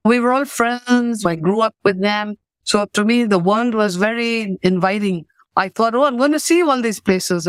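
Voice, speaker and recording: A woman's voice: medium pitch, no room sound. Earnest woman, coach on a call. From Pakistan. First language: English. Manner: earnest, reflective. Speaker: guest, certified coach. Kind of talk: interview answers, storytelling.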